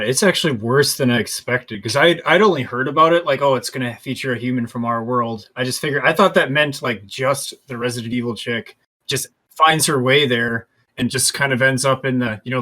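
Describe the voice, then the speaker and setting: A man with a bright, forceful voice at an average pitch chats casually on a gaming podcast. He gives his opinions in informal, conversational speech. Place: remote voice call over the internet.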